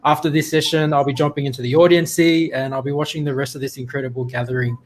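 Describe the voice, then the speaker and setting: A man from Tonga co-hosting an online gathering over a video call, speaking in a warm, heartfelt way and sounding a little emotional. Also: medium pitch, fairly even intonation, fast talker. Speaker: co-host of an online climate gathering. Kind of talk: live online event hosting.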